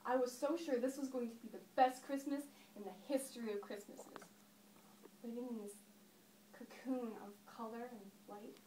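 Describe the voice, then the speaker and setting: A woman's voice: on the high side, little pitch movement, dark tone. Echoey, emphatic woman acting a wounded monologue. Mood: nostalgic, fond. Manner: emphatic, storytelling with deliberate pauses and swelling stress. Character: Daphne, upset woman recalling a childhood Christmas with her father. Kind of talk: dramatic monologue performance. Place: echoey indoor room, recorded from a distance. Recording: echoey room, distant mic, noisy.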